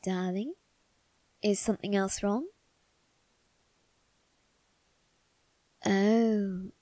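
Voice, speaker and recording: A woman's voice: mid-range voice, no room sound. A woman voicing a suave, doting young male vampire aristocrat in an ASMR roleplay, speaking to the listener in a genteel, coaxing, faintly sinister way. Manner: genteel, coaxing, softly condescending.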